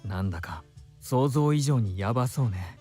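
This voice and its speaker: A man's voice: medium pitch, expressive, fast talker, mellow, slightly rough. A man playing a flamboyant, gossipy bar character in a Japanese visual novel, with music behind. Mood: gossipy, concerned.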